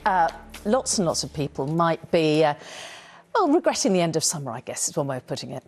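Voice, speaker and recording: Female news anchor, lower voice, animated intonation, wry banter. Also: fairly quick, breathy edge, soft background music. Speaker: news presenter. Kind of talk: studio banter.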